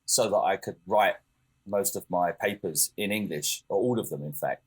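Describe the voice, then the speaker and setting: Low husky guy on a podcast, lots of ums, keeps restarting his sentences. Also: moderate pace. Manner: hesitant, full of fillers and self-corrections. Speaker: podcast co-host. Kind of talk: casual chat.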